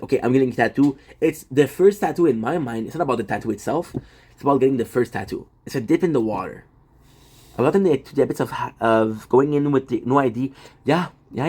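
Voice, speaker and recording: A man's voice: medium pitch, lively intonation, fairly quick, warm tone. Guy livestreaming from home, rambles, restarts a lot, talks to chat. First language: French. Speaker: livestream host.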